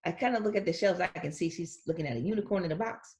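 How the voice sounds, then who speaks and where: A woman reading a picture book aloud to young children in an expressive voice, pausing to chat casually about the illustrations. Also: lowish voice. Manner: expressive, acts out sound words. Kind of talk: read-aloud storytelling with casual commentary.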